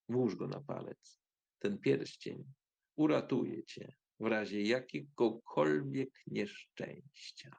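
A man plays a scheming sorcerer from an audio fairy tale, posing as a kindly uncle. His voice has a gravelly creak and he gives orders slowly and deliberately, impatient beneath the gentleness. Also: medium pitch. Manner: slow, deliberate instructions turning stern or falsely gentle.

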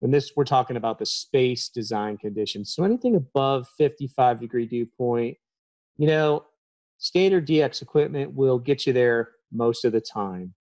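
A man's voice: mid-range voice, animated, normal speed, a little mellow. A man in his thirties giving a technical presentation as an HVAC trainer, talking plainly with frequent ums, recorded over a webcam.

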